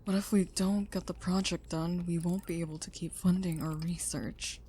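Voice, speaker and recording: A woman's voice: on the low side, steady tone, moderate pace, warm tone, slight background noise, natural voice. A woman playing an earnest, curious scientist in a scripted audio drama. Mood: calm, businesslike. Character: earnest, curious female scientist who built a robot. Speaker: voice actor in an audio roleplay.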